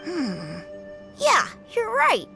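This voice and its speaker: A woman's voice: very high voice, wildly swooping pitch, drawn-out words, gravelly, music in the background, heavily acted. An actress voicing a naive, sulky little boy in a video game, childlike and hesitant. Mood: doubtful, shy.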